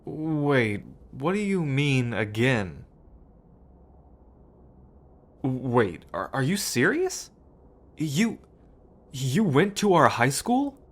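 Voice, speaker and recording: A man's voice: mid-range voice, sing-song, slow. A man acting a remorseful, affectionate brother in an ASMR roleplay, with a hesitant, heartfelt delivery. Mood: stunned, confused.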